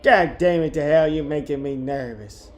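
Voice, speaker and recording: A man's voice: on the high side, moderate pace, close to an everyday voice. A man voicing a bossy, irritable woman who runs a bar, in an amateur novel reading. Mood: irritated.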